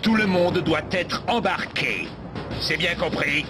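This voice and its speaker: A man's voice: a little high, slow. A man voicing a ruthless militia captain in a French cartoon dub, giving curt, clipped orders. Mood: stern, commanding. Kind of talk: French animated series dubbing. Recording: loud music under the voice.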